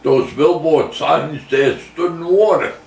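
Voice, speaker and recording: An older man reminiscing in a casual oral history interview, talking in halting, rambling phrases and repeating himself. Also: fairly high pitch, creaky, darkish tone. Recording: echoey room, distant mic, faint noise.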